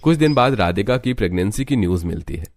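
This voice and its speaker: A man's voice: on the low side, lively intonation, fast talker, slight background noise. A man narrating a scripted moral story as a storyteller.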